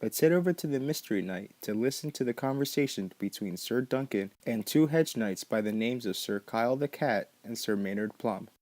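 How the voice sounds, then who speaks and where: A man's voice: medium pitch, animated, moderate pace, few pauses, darkish tone. A man hosting a podcast, reading scripted narration. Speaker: podcast host.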